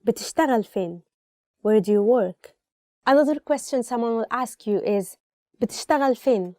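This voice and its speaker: Bright-toned Egyptian woman teaching, higher voice. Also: lively intonation, fairly quick, no room sound, studio quality. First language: Arabic. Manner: conversational, explanatory, giving examples. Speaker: language lesson host. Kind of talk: scripted language lesson, presented informally.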